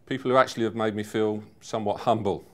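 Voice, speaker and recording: A man in his fifties giving a personal testimonial in a measured, earnest way. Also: fairly low pitch, fairly even intonation, a bit bright. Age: 50s. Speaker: seminar attendee giving a testimonial.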